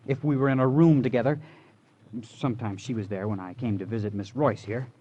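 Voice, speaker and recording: A man's voice: medium pitch, brisk, mellow, lightly acted. Earnest, wounded young man, played by an actor. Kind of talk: 1950s radio drama acting. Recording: muffled, hissy old radio recording.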